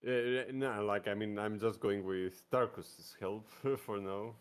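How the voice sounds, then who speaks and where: A man's voice: lowish voice, fairly even intonation, takes it slowly, smooth. A man chatting casually as a player in a tabletop roleplaying game.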